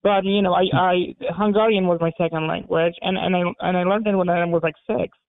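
A man from Poland whose first language is Polish, talking as an interview guest in a halting way, with many repeated words and restarts. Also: sits high, steady tone, clear, resonant tone. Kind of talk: casual interview talk. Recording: phone-like narrow band.

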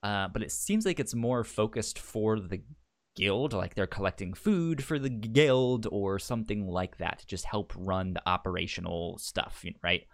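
A man's voice: on the low side, moderate pace. A man co-hosting a casual book discussion podcast, jokey, who often stammers and restarts his words. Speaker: podcast co-host.

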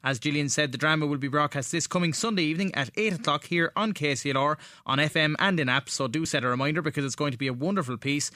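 An Irish man hosts a radio programme with scripted links. His voice is on the high side and brightly projected, recorded in a very dry studio. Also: fast, few pauses, dry recording. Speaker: radio show host.

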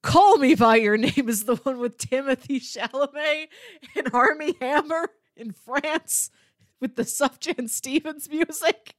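A woman co-hosting a podcast in casual film chat, animated and given to acting out imagined dialogue. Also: high voice, unhurried, no room sound, clean studio sound. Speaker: podcast co-host.

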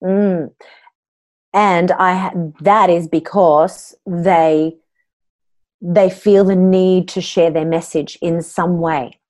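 A woman's voice: on the low side, fairly even intonation, takes it slowly, darkish tone. Earnest Australian woman voice coach. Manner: open, earnest storytelling. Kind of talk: interview guest telling her story.

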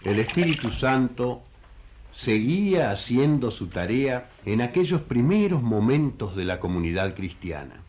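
A man's voice: mid-range voice, sing-song, measured pace, darkish tone, slight background noise. A man giving scripted radio play narration in a storytelling manner that addresses listeners directly.

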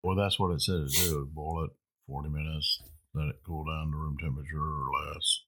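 A man's voice: very deep voice, level tone, a little fast, bright, forceful tone. A man chatting casually, a father pouring drinks, with short matter-of-fact replies. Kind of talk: casual chat.

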